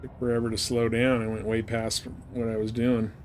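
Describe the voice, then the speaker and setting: A man with a mid-pitched voice livestreams his gameplay in a casual, rambling way. He often mutters to himself as he goes. There is some noise behind him. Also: flat delivery, normal speed. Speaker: game livestreamer. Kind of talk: casual live gameplay commentary. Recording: clearly noisy background.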